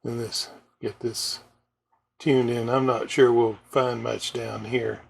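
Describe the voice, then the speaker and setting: An older man giving casual, low-key, unscripted commentary while demonstrating his radio as a hobbyist. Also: flat delivery, slow pace, gravelly, husky.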